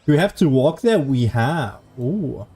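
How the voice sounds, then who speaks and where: A man's voice: medium pitch, expressive, unhurried, a little mellow. A young man giving casual gameplay commentary, rambling, sweary and self-deprecating. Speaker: game streamer. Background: faint game music.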